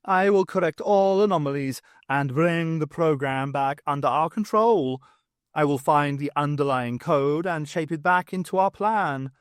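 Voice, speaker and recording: Guy reading as a cold buzzy robot genie, flat and mechanical, dry close sound. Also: a little high, a little slow, smooth, dry recording, natural voice. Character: buzzing, cold machine genie, male.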